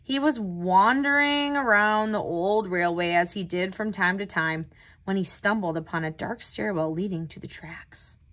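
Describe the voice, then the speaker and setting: A woman's voice: medium pitch, moderate pace, a bit bright. Woman reading a children's storybook aloud. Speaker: tutor hosting a children's read-aloud.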